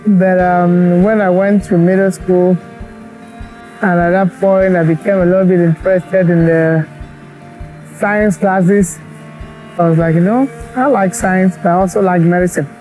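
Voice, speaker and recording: A man in his forties from Cameroon speaks in a documentary interview, his voice high for a man and warm in tone. He talks earnestly and reflectively, with thoughtful pauses, while soft music plays faintly behind him. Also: poor audio. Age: 40s. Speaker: doctor and brain cancer researcher.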